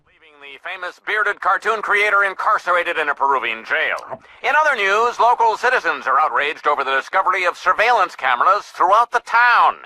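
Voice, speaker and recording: Piercing, pompous cartoon newsreader. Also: male voice, sits high, very animated, a little fast, bright, forceful tone, exaggerated voice, low-quality recording. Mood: brisk, grave. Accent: American. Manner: pompous, punchy announcer delivery. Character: slick, self-important cartoon TV newsreader. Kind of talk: parody news bulletin.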